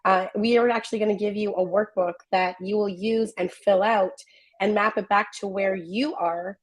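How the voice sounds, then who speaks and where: A woman with a hoarse, worn-out voice, organizer of the event, explaining it in an upbeat, friendly way as a guest on a video call. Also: medium pitch, steady tone, normal speed. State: hoarse, lost voice.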